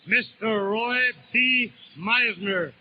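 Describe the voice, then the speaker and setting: A man with an American accent formally announcing speakers as convention chairman, in a loud, drawn-out call. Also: high voice. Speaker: convention chairman calling the roll. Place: large convention hall, rostrum. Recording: muffled, noisy 1930s radio broadcast recording.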